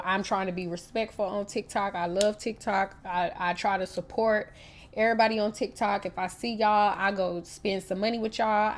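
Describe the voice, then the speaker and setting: Young Southern woman, North Carolina accent, congested, chatty livestream host, mid-pitched. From Raleigh, North Carolina. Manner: chatty, talks straight to her viewers. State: congested, stuffy nose. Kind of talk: casual livestream chat.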